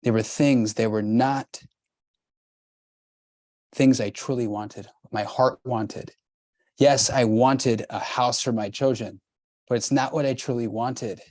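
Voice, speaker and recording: A man in middle age leads a motivational workshop, pushing his points with repeated, urging phrases. His voice sits at an ordinary pitch for a man. The sound is close and dry, with no room echo. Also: fairly even intonation, takes it slowly, long pauses, darkish tone. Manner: emphatic, repetitive, urging. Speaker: workshop host and coach. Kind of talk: motivational group coaching.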